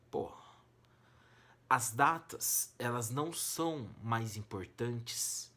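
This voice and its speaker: A Brazilian man with a mid-pitched voice teaches in an informal, colloquial way. He stresses key phrases, drops others lower, and puts rhetorical questions to his students as he explains. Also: fairly bright tone. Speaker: humanities teacher and exam-prep host. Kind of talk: informal educational monologue to students.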